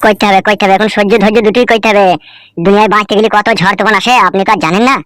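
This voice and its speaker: গ্রামবাংলার টানে একজন পুরুষ কার্টুনে এক মুখখারাপ, ফন্দিবাজ, খোঁচা-মারা চ্যালার গলা দিচ্ছে।. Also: male voice, high-pitched, flat delivery, racing, fairly bright tone, no echo. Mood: teasing. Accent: rural West Bengali. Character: cheeky, scheming henchman, crude and foul-mouthed. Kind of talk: amateur comedy cartoon dub.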